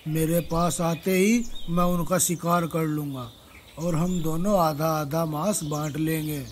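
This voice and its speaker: A man's voice: a little high, steady tone, measured pace, slight background noise, natural voice. A man voicing a cunning, commanding old male lion in a children's moral story. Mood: scheming, persuasive.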